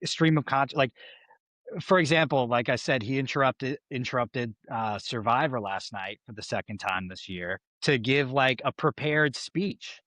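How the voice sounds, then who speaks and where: A man's voice: medium pitch, moderate pace, a bit bright, no room sound. A young man co-hosting a political podcast, rambling and exasperated, with many fillers and restarts. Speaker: podcast co-host. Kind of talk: casual political chat.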